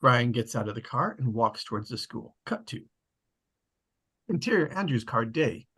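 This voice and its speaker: A man with a slightly husky voice, casually hosting an online table read and narrating the stage directions. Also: mid-range voice, very animated, moderate pace, sharp tone. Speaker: host and stage-direction reader. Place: online video call.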